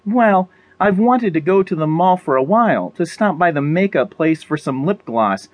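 A man's voice: fairly high pitch, lively intonation, normal speed, no echo, voice pushed up, natural voice. A man voicing a perky, exasperated young woman survivor in an audiobook, with a pleading, colloquial manner. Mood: wistful.

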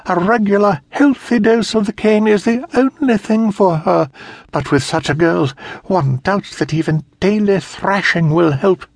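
A man voicing a stern, prudish middle-aged governess who scolds in a shrill, indignant way. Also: measured pace, vocal fry, no echo, full character voice. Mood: wrathful.

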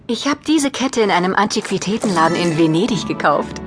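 A woman's voice: mid-range voice, lively intonation, brisk, over music, lightly acted. A woman playing a kind, encouraging mother in a children's audio drama, speaking in a reassuring manner. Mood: gentle, encouraging.

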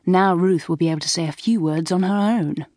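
A brisk schoolgirl of about sixteen, read by a woman, chatty and a little superior. She is a storybook character with a dry, close sound. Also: fairly low pitch, a little mellow, no echo, lightly acted.

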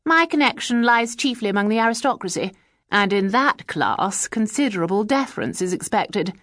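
A woman's voice: medium pitch, animated, normal speed, a bit bright, no room sound, clean studio sound, voice pushed up, lightly acted. A woman voicing a stately, severe woman who runs an employment agency, speaking haughtily and crushingly. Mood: haughty.

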